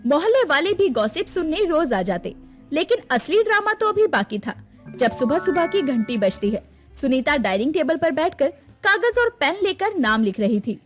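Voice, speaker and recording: A woman's voice: high voice, big pitch swings, normal speed, faint music behind. A woman storyteller reading dramatic scripted narration of a family tale.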